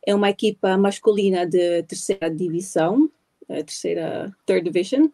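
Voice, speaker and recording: A woman in her twenties, an Italian native, answering interview questions in a casual way, pausing often with fillers. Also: medium pitch, dark tone. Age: 20s. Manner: hesitant, many pauses and fillers. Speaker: guest athlete.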